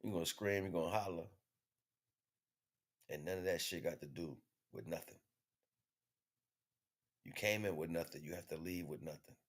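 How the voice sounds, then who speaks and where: A man delivering an impromptu spiritual monologue in an emphatic, repetitive, slangy street-preacher manner, with a husky voice. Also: deep voice, moderate pace, long pauses. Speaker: spiritual teacher streaming online.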